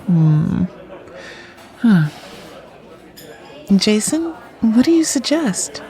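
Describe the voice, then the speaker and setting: A woman playing a wry, blunt writer in her late thirties with a gravelly voice in an audio drama. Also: medium pitch, very animated, very slow, warm tone, some chatter behind, exaggerated voice. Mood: wry, playful. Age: late 30s. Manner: dry, blunt, quippy.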